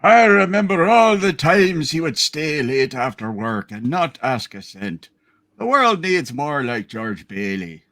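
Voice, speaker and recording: A man playing an earnest townsman praying for a troubled friend in a hammed-up radio play, deliberate and dramatic. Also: a little high, big pitch swings, fairly bright tone, heavily acted. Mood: grateful.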